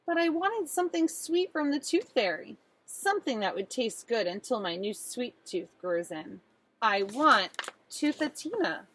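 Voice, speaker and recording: A woman voicing an earnest little girl who tells her own storybook tale in an expressive, pleading way. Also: a little high, a little slow, slight background noise, poor audio. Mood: wistful, puzzled. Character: earnest little girl narrator with a sweet tooth.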